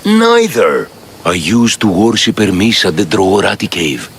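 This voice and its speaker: Gravelly, fretful poor Greek worshipper. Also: male voice, fairly low pitch, big pitch swings, unhurried, slight background noise, full character voice. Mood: troubled, pleading. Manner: plaintive, fretful. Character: anxious, superstitious poor Greek worshipper, male, downtrodden. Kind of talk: video game cutscene acting.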